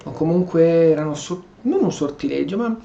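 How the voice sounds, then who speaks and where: Male gaming streamer from Italy: highish voice, casual, exclamatory, thinking aloud, faint background noise. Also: lively intonation, brisk, creaky, mellow, dull audio. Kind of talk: casual gameplay commentary.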